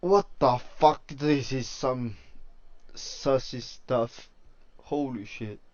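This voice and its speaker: A man reacting loudly to a game, cursing and laughing. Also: medium pitch, drawn-out words, highs cut off. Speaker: streamer.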